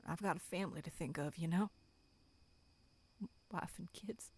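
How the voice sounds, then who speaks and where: Girl doing a anxious villager guy, low voice, hesitant n apologetic, horror roleplay. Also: normal speed, a little mellow, husky. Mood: guilty, apologetic. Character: reluctant guilty village man, anxious husband and father. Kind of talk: dramatic horror roleplay acting.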